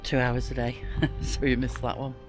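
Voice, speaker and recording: A woman's voice: rumbling low, flat delivery, moderate pace, over music, rough recording. A British woman giving casual travel vlog commentary in a wry, understated way. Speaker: travel vlogger.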